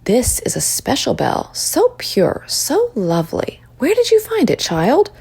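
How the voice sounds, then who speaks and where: A woman voicing a wise, kindly old village woman with a gentle, knowing manner in a storybook. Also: high voice, wildly swooping pitch, unhurried, gravelly, slightly rough, pitched above the performer's own voice, full character voice. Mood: admiring, curious.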